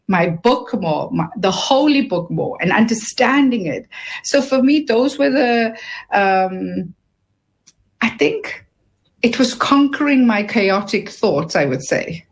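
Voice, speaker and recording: A woman in her fifties, an author being interviewed, speaking earnestly and reflectively, often restarting her sentences as she searches for words. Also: medium pitch. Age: 50s. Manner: describes herself as having a loud voice. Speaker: author and guest.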